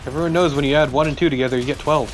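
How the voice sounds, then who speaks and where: Fast-talking man co-commentating on a game. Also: on the high side, fairly even intonation, a little hiss or hum, rough recording. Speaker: co-commentator on a let's play. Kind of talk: casual gameplay commentary.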